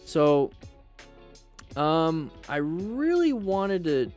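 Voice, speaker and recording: A man's voice: on the high side, big pitch swings, extremely slow, a little mellow. Casual joking man livestreaming over music. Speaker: livestream host; firefighter. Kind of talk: casual livestream chat, answering viewers.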